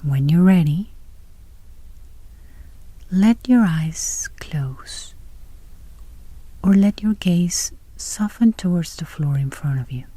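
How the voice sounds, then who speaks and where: A woman guiding a meditation in a soft, breathy near-whisper, speaking gently and soothingly. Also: on the low side, lively intonation, slow pace, pauses often. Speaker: meditation guide. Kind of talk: guided meditation.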